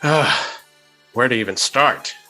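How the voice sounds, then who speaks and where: Slow-speaking man with a bright edge, measured in-character tabletop player, music underneath. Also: mid-range voice. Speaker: tabletop role-playing game player. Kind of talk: in-character improvised tabletop game dialogue. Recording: music behind the voice.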